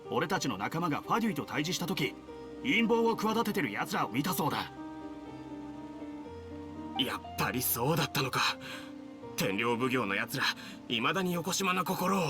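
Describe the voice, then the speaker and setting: A man's voice: mid-range voice, expressive, quick pace, pauses often, music in the background, close to an everyday voice. A man playing a suspicious, bitter soldier who grumbles with distrust. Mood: distrustful. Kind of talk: Japanese game dub.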